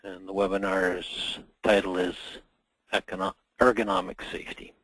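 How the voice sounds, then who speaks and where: Man, middle-aged, husky hoarse voice, lowish pitch, dry close sound, webinar talk with many uh pauses. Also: level tone, fairly bright tone, no echo, poor audio. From Canada. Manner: measured, frequent "uh" pauses. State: hoarse from a cold. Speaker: association general manager presenting a webinar. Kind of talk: webinar presentation.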